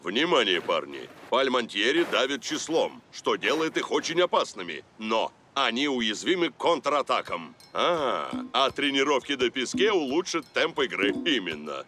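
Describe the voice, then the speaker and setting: An actor voicing a calm, stern adult football coach in a dubbed cartoon, giving measured instructions. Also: male voice, mid-range voice. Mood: instructive. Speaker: team coach. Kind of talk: cartoon dubbing.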